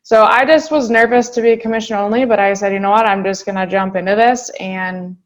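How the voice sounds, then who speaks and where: Female interview guest, brisk and chatty, mid pitch, dull lo-fi recording. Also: fairly even intonation. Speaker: guest real estate agent. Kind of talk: podcast interview.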